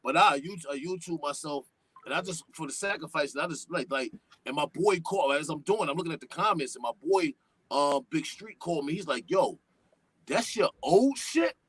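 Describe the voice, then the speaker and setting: A man, a guest hip-hop artist chatting on a podcast, animated, full of street slang and swearing, and talking at length. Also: moderate pace, husky, no echo. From New York. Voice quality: deep voice. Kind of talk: casual podcast chat.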